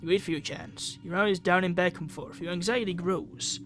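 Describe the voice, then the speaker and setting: Higher-voiced man narrating over soft music. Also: steady tone, moderate pace. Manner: acts out characters' lines and thoughts. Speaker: gaming narrator reading a visual novel aloud. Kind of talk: dramatic read-aloud narration.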